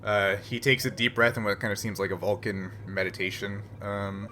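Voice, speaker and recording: A man's voice: on the low side, little pitch movement, normal speed, sharp tone, a little hiss or hum. A man casually narrating as game master of a tabletop roleplaying game, feeling his way through his phrases in conversation.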